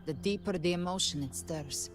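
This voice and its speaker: Actress as a low-voiced, solemn young warrior woman. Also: natural voice. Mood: grave. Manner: solemn, formal, measured. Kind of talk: scripted video game dialogue. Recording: game audio under loud music.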